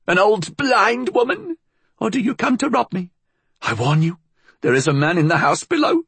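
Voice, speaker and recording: A man narrating an audiobook plays a frail, cunning old blind woman with a menacing air. The voice is pushed high and swoops about, hissing and husky, with bitter formality in every phrase. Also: very animated, unhurried, dry recording, heavily acted. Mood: hissing suspicion.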